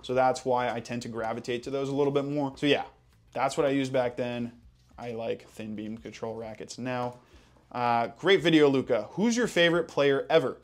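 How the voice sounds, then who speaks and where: A man's voice: mid-range voice, normal speed. A young man with an American accent, hosting a casual Q&A video and talking in a chatty, enthusiastic way with casual fillers. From Hawaii. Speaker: tennis channel host. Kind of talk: casual Q&A vlog.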